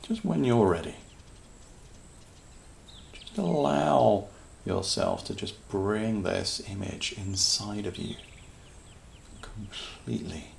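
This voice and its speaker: A man with a low, heavily creaky voice guides a meditation very slowly. He speaks calmly and soothingly in short phrases with long pauses, over faint nature ambience and birdsong. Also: slight background noise. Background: natural sounds, birds.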